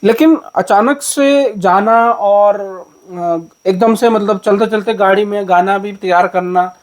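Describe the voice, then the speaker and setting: A man with an Uttarakhand accent hosts a casual interview and heaps on praise, his talk loose and full of fillers. His voice is high for a man. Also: slow pace. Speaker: vlogger, interviewer.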